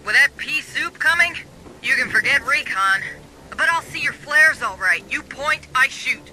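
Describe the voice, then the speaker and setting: Air-support pilot: very high, forceful male voice, casual wry banter, game cast, slight engine noise. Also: animated, unhurried, exaggerated voice, low-quality recording. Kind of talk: military video game voice acting.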